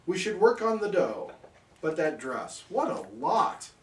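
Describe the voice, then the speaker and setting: A man reading a rhyming parody bedtime story aloud in a theatrical, measured way. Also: sits high, sing-song. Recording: roomy, somewhat distant microphone.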